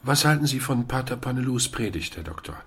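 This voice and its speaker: A man playing a calm, resolute volunteer organiser in a German radio play, with a deliberate, questioning delivery. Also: mid-range voice, husky. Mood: probing, calm.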